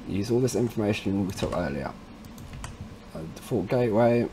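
A man presenting a casual step-by-step software tutorial in an informal way, addressing viewers as guys. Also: on the low side, expressive, normal speed, a little hiss or hum. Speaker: tutorial presenter, installer trade.

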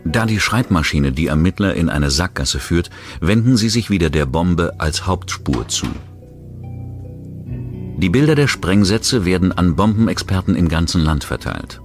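A man narrating a true-crime documentary in a measured, scripted delivery. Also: low voice, lively intonation. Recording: narration over loud music.

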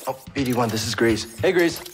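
An actor playing an earnest, wary young hero in a game, speaking plainly and with understatement. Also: male voice, medium pitch, lively intonation, brisk, background noise, lightly acted. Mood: matter-of-fact. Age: young adult. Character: earnest, wary young man, budding Jedi. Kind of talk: game cutscene acting.

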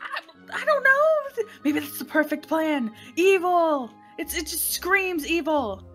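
Suspicious, excitable young superheroine woman acting, high and bright, insistent, repetitive, game roleplay. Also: takes it slowly, soft background music, exaggerated voice. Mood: flustered, insistent. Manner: excitable, insistent, repeats herself. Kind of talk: improvised video game roleplay.

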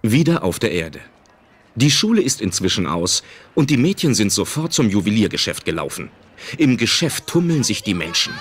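A man narrating a children's audio drama as an expressive storyteller. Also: lowish voice, moderate pace, slight background noise. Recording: music behind the narration.

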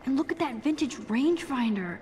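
A woman's voice: high-pitched, lively intonation, fairly quick, a little mellow. A young woman with an American accent voicing a shy, wry, geeky teenage photography student in a video game. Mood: quiet admiration. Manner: wry, slangy, self-doubting. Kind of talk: introspective game voice acting.

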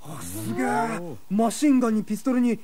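An actor playing a hot-headed teenage boy with a husky voice and brash, slangy delivery in an audio drama. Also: medium pitch, expressive, fairly quick, heavy background noise, lightly acted. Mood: casual.

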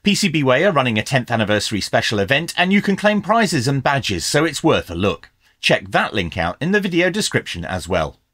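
A middle-aged man hosts a product review in a medium-pitched voice. His intonation moves up and down a lot as he presents. The sound is dry, with very little room echo. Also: sing-song, a little fast, a bit bright. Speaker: YouTube host reviewing radio gear. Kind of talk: scripted product review with hands-on commentary. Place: small indoor electronics workshop.

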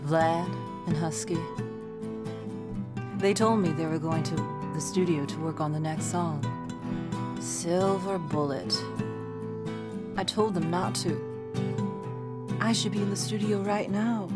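An actress playing a regretful friend, recalling a tragedy plainly as testimony in an audio documentary. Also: female voice, on the low side, fairly even intonation, slow, pauses often, loud music behind the voice. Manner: plainspoken, recollecting.

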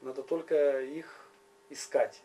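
Interviewer-interpreter: man, mellow tone, halting phrases, a little distant from the mic. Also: mid-range voice, fairly even intonation. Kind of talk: informal spoken interpreting and interviewing. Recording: slightly distant, faint noise and hum.

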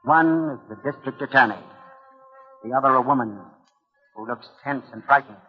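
A man hosting and narrating a radio mystery series in formal, deliberate 1940s style. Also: medium pitch, breathy edge, narrow, tinny audio.

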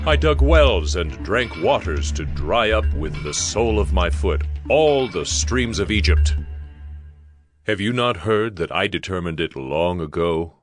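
A man's voice: sits low, fairly bright tone, dry recording, exaggerated voice. A man playing a stern prophet who solemnly pronounces divine judgment in a dramatized scripture recording. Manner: solemn, declamatory.

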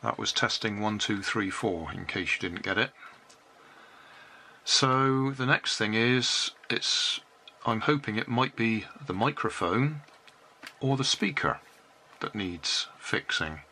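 Older British man, slow bright voice. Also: on the low side, lively intonation. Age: 60s or older. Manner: unhurried, matter-of-fact. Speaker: hobbyist repairing and reviewing a toy. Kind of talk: casual hands-on demonstration narration. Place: cluttered indoor hobby workbench. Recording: noisy room with clicks and handling sounds.

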